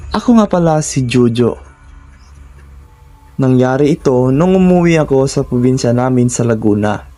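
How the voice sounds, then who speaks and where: A man with a Filipino accent reading a scripted first-person horror story in measured, short suspenseful phrases. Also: mid-range voice, warm tone.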